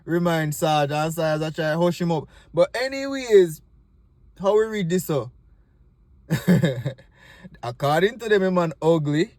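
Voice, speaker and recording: A man's voice: on the high side, lively intonation, unhurried, darkish tone, no echo. A man with a Jamaican accent, casually hosting and giving comic commentary in Patois. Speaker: host introducing and commenting on stage play clips.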